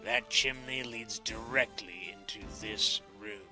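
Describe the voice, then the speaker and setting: A man's voice: lowish voice, fairly even intonation, unhurried, music in the background, close to an everyday voice. A man playing an earnest detective in a video game fan dub, explaining things plainly. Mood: reporting. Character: earnest male detective reporting on a crime scene.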